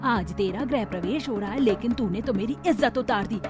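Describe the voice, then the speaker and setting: A woman's voice: a little high, brisk, natural voice. Woman playing a proud mother-in-law over music. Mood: indignant scolding. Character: stern middle-aged mother-in-law, proud and scolding. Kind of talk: Hindi cartoon family melodrama.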